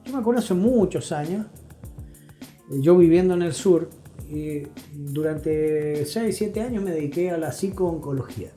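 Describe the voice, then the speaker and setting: A middle-aged Argentine man with a mellow, dark voice speaks as an expert guest in an interview. He explains in a deliberate, emphatic way, often checking that he is followed, with music under his voice. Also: a little high, lively intonation. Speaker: guest expert, psychology professional.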